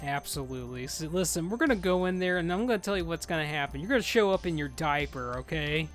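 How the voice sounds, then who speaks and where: Loud, excitable man in his thirties. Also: on the high side, quick pace, faint music behind. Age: 30s. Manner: loud, excitable, swears freely. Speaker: streamer leading a game group. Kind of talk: casual live gameplay chat.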